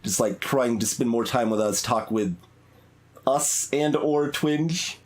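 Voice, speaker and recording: A man's voice: mid-range voice, slow. A man giving casual, rambling playthrough commentary as a video game streamer, musing aloud as he goes.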